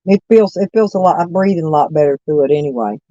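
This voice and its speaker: A hoarse woman with stuffy sinuses, rambling folksily as she chats with live viewers from her camper van. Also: sits low, expressive, fast, dark tone, dry recording, dull audio. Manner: rambling, folksy, self-correcting. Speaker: vlogger chatting with live viewers. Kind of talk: casual morning chat.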